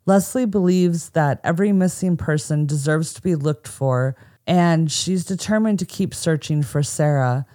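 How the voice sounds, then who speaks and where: A woman's voice: sits low, normal speed, mellow. An American woman co-hosting a podcast, telling a true crime story from a script with casual asides. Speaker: podcast co-host.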